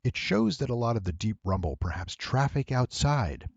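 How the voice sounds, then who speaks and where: A man giving a casual, unscripted software tutorial in a deep, husky voice, with wry, playful asides. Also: big pitch swings, moderate pace, dry recording, highs cut off.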